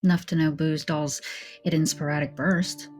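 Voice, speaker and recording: A woman's voice: deep voice, fairly even intonation, normal speed, a little mellow, soft background music, lightly acted. A woman playing a hardened, world-weary fighter in an audio drama, blunt and heavy with old loss. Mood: bitter, weary.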